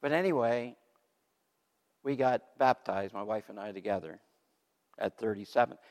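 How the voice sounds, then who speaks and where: An older man telling his faith story from the pulpit in a loud, lively way, laughing between points. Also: lowish voice, moderate pace. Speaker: guest speaker giving testimony. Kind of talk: testimony sermon. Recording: long hall reverb.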